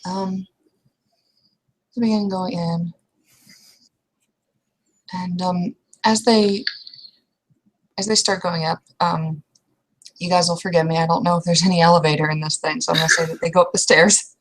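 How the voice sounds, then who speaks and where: A woman's voice: fairly low pitch, fairly even intonation, moderate pace, long pauses, low-quality recording. Hesitant woman narrating a roleplay. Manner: hesitant, informal. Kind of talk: improvised tabletop roleplay narration.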